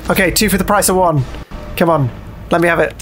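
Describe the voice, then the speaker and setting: A man's voice: sits high, quick pace, fairly bright tone, soft background music. A man giving casual, muttered, sweary commentary as he plays a game. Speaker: let's play host playing the game.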